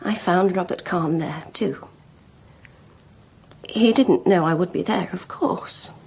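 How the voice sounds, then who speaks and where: An actress playing a proud, lovelorn schoolmistress in a period radio drama, speaking frankly and deliberately with emotional intensity. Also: female voice, fairly low pitch, creaky, a little mellow, breathy edge, full character voice. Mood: confessing. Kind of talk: BBC radio drama acting.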